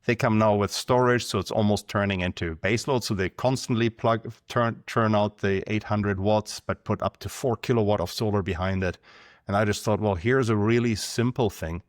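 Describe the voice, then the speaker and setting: A man from Germany with a German accent, explaining things in a measured way as a podcast guest. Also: lowish voice, steady tone, no echo, studio quality. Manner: measured, explanatory, numbers-driven. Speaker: guest, company founder. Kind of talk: podcast interview.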